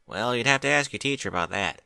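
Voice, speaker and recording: A man's voice: medium pitch, animated, fast talker, gravelly, pushed and bright, clean tone, dry recording, studio quality, full character voice. A man voicing a practical, down-to-earth farm pony mare who teases her little sister. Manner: teasing, down-to-earth.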